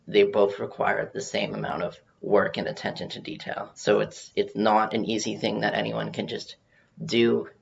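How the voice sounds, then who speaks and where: A man giving an informal research presentation, speaking haltingly with some repeated words, on muffled audio. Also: lowish voice, completely flat delivery, creaky, a little hiss or hum. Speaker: presenter on learning to read.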